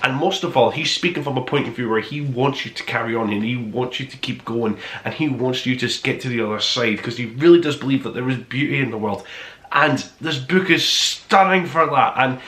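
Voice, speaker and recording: Male review-show host, bright forceful voice, gushing and emphatic, recorded in a roomy space. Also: mid-range voice, fairly quick. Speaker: host of a book review podcast. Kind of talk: casual review to camera, top five countdown. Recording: roomy, echoey indoor sound.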